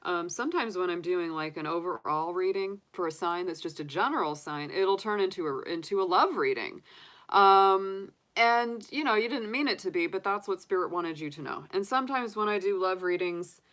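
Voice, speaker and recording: A woman's voice: lowish voice, expressive, moderate pace, fairly bright tone. A woman from the United States, casually chatting on a livestream as a tarot reader, rambling with many fillers and restarts. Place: home room with candles and sage.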